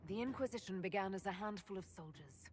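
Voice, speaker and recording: A woman's voice: mid-range voice, fairly quick, faint music behind, close to an everyday voice. An actress playing a composed, resolute woman who leads a fantasy army in a video game, formal and assured. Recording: game audio with faint ambient noise.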